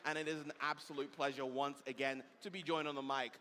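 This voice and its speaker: A man's voice: mid-range voice, flat delivery, fast, noisy background. A man doing live esports commentary at a tournament, speaking effusively and full of superlatives. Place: event stage with audience, heard through a stream.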